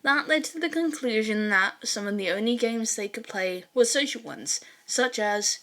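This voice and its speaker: A woman with a fairly high voice narrates a piece of fan fiction. She reads from a script, telling the story as its narrator. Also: normal speed, a bit bright.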